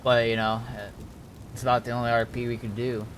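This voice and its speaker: A man talking casually on a game stream, thinking things through aloud in a halting, deliberating way. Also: mid-range voice, completely flat delivery, a little hiss or hum. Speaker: streamer and roleplay gamer.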